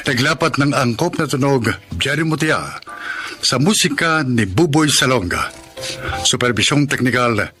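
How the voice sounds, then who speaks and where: A man's voice: mid-range voice, sharp tone. A man with a Filipino accent announcing a radio drama in a solemn, formal and dramatic style.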